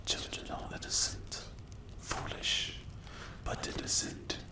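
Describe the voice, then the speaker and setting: A performer voicing a menacing, sadistic demon in a breathy whisper for a dark fantasy ASMR roleplay, speaking slowly and deliberately. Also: roomy sound, close to an everyday voice. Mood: grave, disapproving. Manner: slow, deliberate, menacing delivery. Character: menacing sleep paralysis demon, sadistic predator with some morals.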